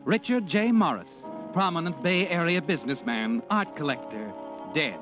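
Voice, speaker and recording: A man acts a sinister old-time radio narrator who knows every secret. He is highish, taunting and very dramatic in his swings. Music plays behind him. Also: animated, moderate pace, lo-fi sound, natural voice. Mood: knowing, taunting. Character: sinister, all-knowing male narrator of crime tales. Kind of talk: 1940s radio mystery narration.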